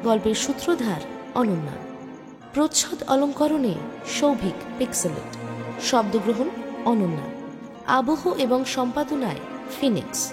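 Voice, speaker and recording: Higher-voiced woman presenter over music. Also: sing-song, a little slow, pauses often. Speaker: presenter of an audio story channel. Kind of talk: scripted introduction and credits.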